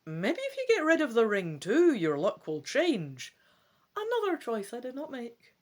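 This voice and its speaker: A woman presenting a gamebook playthrough, mixing theatrical story reading with wry, chatty asides in an expressive manner. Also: on the high side, a little slow. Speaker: gamebook let's play presenter.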